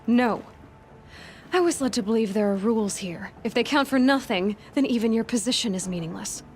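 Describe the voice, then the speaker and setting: An actress playing a determined outsider huntress in a video game, speaking in a direct, firm way. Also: female voice, fairly high pitch, brisk, lightly acted. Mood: defiant.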